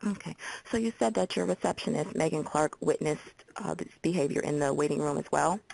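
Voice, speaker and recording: Woman acting as creaky, husky American hotline call taker. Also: deep voice, brisk, darkish tone, exaggerated voice, low-quality recording. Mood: businesslike, procedural. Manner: procedural, reads details back methodically. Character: procedural, businesslike female abuse-report hotline call taker. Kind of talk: simulated phone intake interview for training.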